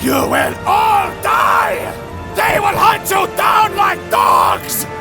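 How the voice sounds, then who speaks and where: A man playing a desperate, blustering prison warden in a dramatic comic dub, loud and frantic. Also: extremely high pitch, huge pitch swings, drawn-out words, creaky, over music, full character voice. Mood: enraged, defiant.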